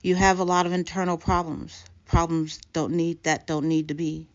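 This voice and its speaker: A woman voicing a blind male street fortune-teller in a poem, calm, solemn and oracular. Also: lowish voice, moderate pace, lightly acted. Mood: solemn foretelling. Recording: dull lo-fi recording.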